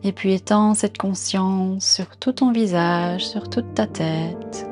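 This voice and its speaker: A woman guiding a body scan meditation in a calm, gentle, unhurried manner, giving soft instructions with pauses. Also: mid-range voice, soft background music. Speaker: meditation guide.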